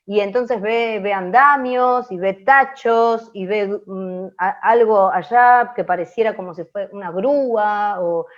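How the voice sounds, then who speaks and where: A woman's voice: medium pitch, a little slow, few pauses. A woman with a Rioplatense Argentine accent explaining as a radio teacher in an open class, often checking in with short tag questions. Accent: Rioplatense Argentine Spanish. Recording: dull lo-fi audio.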